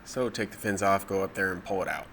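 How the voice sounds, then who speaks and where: A man with a deep, low voice narrates dive footage in a relaxed, explaining way. His nose is stuffed up from a cold. A faint noise sits under the voice-over. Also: fast talker. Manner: relaxed, matter-of-fact explaining. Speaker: spearfishing vlogger.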